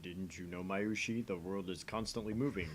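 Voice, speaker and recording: A man's voice: low voice, normal speed, a little hiss or hum, natural voice. A man playing a theatrical, pompous young self-styled mad scientist in a visual novel, switching between grand pronouncements and narration. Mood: explanatory. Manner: grandiose declarations, quieter asides as narrator.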